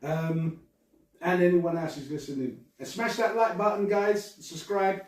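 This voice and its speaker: A man's voice: high-pitched, steady tone, a little slow, a little mellow, distant mic. Chatty man in echoey room. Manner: laughing, rambling, swears casually. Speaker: podcast host. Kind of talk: casual chat.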